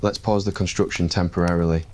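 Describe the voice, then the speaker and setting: A man playing a deep, commanding young king. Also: steady tone, a little mellow, a little hiss or hum, natural voice. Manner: low, slow and deliberate. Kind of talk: ASMR roleplay.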